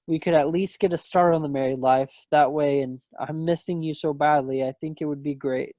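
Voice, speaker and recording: A man's voice: a little high, steady tone, brisk, mellow, dry recording, studio quality, lightly acted. A man playing an earnest, stubborn young soldier in love, in an amateur play read over a video call. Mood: eager, tender.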